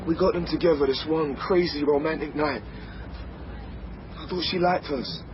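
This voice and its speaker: A man's voice: a little high, moderate pace, natural voice. An actor playing a mild, lovelorn delivery man in a radio comedy drama, hesitant and plaintive. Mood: heartbroken, plaintive. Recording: dull sound with faint noise.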